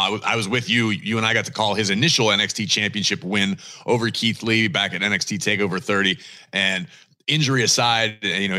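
A man hosting a wrestling talk podcast, his voice bright and forward. He talks with punchy emphasis and casual swagger, leaning into his opinions. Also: fairly low pitch. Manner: energetic, emphatic, swears casually. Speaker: podcast co-host.

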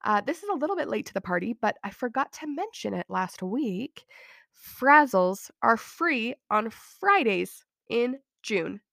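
A woman's voice: on the high side, very animated, a little slow, gravelly, a bit bright, no room sound, studio quality. Giggly woman host with a cold. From Utah, USA. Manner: chatty, giggly, self-deprecating. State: has a cold. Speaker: podcast host. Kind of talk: casual solo podcast chat.

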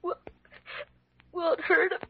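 A young American boy, earnest and pleading, played by a woman in an old radio drama. The voice is extremely high and plaintive, with halting phrases. It comes through a dull vintage recording. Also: level tone, gravelly, slight background noise, full character voice. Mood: tearful, sad. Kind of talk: 1940s-50s radio drama acting.

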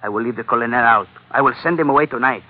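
A man's voice: medium pitch, racing, lightly acted. An actor playing a short-tempered, scheming gunman, bossing people about in broken English in an old radio adventure. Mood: sly, commanding. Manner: broken English, imperious and sly. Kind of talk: 1940s-50s radio adventure acting. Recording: narrow-band vintage radio recording, faint noise.

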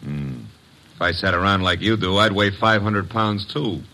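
An American actor playing a brash, wisecracking detective's assistant with an eye for women, in glib old-time radio style. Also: male voice, low-pitched, moderate pace, pushed and bright. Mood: exasperated, needling. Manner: glib, breezy, wry. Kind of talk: 1940s-50s radio acting, first-person narration and dialogue. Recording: noisy vintage radio recording.

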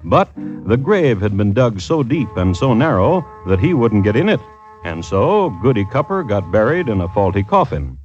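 Old radio crime show host guy, low voice that moves around a lot, droll n ironic, music under. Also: moderate pace. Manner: droll, deadpan irony. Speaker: host and narrator. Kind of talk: scripted 1950s radio crime narration. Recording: dull old radio recording.

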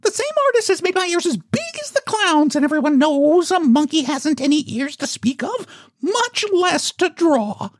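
A man's voice: very high-pitched, big pitch swings, moderate pace, hardly any pauses, no echo, studio quality, pitched above the performer's own voice. A man voicing a mischievous, playful, boastful monkey in a children's story, with a gleeful, teasing manner. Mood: complaining.

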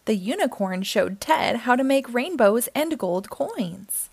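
Female children's storytime reader: high voice, lively swooping intonation, expressive delivery, close dry sound. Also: a little slow, no room sound. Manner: expressive, theatrical. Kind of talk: children's picture book read-aloud.

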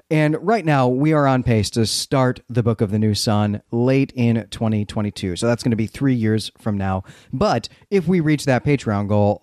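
A man co-hosting a literary podcast, speaking articulately in measured, discursive sentences. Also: medium pitch, a little mellow, dry recording, clean studio sound. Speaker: podcast co-host.

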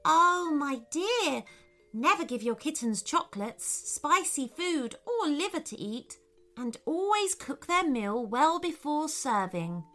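Woman as a tiny kindly firefly, high and gentle. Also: sing-song, takes it slowly, faint music behind, heavily acted. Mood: caring instruction. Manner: gentle, reassuring, motherly. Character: tiny kindly firefly, concerned, empathetic, wise teacher. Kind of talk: children's storybook character voice.

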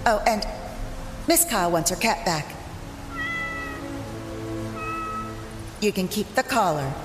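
A woman's voice: medium pitch, lively intonation, moderate pace, lightly acted. An actress playing a cunning, bitter female cat burglar in an audio drama, with a sardonic delivery. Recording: echoey long reverb, music behind.

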